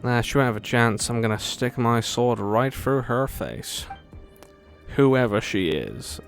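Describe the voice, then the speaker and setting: Male gaming host: average pitch, joking and sarcastic casual commentary over light game music. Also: expressive, a little slow. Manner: jokey, sarcastic, playful.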